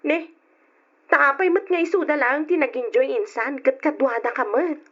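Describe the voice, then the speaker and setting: Gossipy, teasing young Ilocano cousin played by an actress, high voice, muffled radio drama recording. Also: female voice, normal speed, lightly acted. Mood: nosy, friendly. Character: chatty, nosy, teasing young female cousin.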